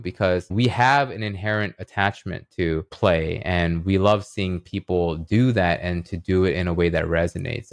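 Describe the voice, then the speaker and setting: A man's voice: on the low side, dry recording, studio quality. A man talking casually as a podcast guest, explaining ideas and checking in with "right?" as he goes. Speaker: podcast guest, writer and illustrator. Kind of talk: casual conversational podcast talk.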